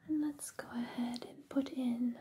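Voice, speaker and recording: A woman hosting an ASMR personal-attention roleplay in a breathy, soothing whisper, intimate and unhurried, close on a binaural microphone. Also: fairly high pitch, steady tone, dark tone. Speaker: ASMR host.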